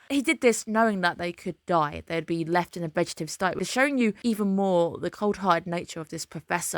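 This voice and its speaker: A woman's voice: fairly low pitch, no echo, clean studio sound. Slightly ill woman reviewing anime. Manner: opinionated, chatty, emphatic dislikes. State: slightly ill, under the weather. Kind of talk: casual anime episode breakdown.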